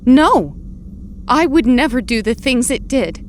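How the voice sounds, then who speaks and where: An actress playing an anguished but determined heroine in an audio drama, with an emotional, pleading delivery. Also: female voice, sits high, lively intonation, unhurried, a little hiss or hum. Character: anguished, determined heroine back from presumed death.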